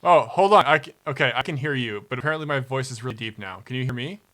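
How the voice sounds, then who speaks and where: Bright-voiced man hosting a livestream. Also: medium pitch, quick pace. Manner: flustered, laughing, troubleshooting audio aloud. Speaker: charity livestream host. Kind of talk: casual livestream chat.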